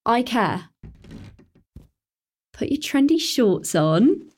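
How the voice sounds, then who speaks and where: A woman's voice: on the high side, very animated, drawn-out words, slightly rough, heavily acted. An actress playing Emma, a caring, teasing teenage schoolgirl in a radio drama, speaking in an earnest, coaxing way. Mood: warm.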